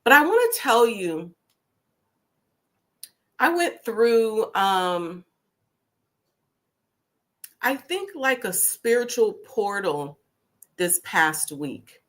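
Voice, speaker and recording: A woman hosting a casual talk stream, her voice deepened by a cold, talking slowly with many pauses and ums. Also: very animated, a bit bright. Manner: slow, drawn-out, many ums. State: deep voice from a cold. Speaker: host.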